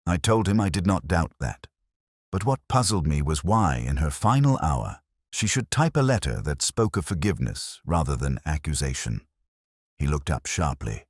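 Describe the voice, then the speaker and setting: A man with an extremely low voice narrates a detective story in a solemn, literary way. His delivery is measured, yet the pitch moves about with real variety. The sound is close and dry. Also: animated, slightly rough, dry recording. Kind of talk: scripted first-person detective narration.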